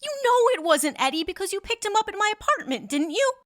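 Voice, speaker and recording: A woman's voice: very high voice, big pitch swings, quick pace, fairly bright tone, exaggerated voice. A woman playing a frightened young stripper under questioning in an audio drama, distraught and pleading her innocence. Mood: defensive.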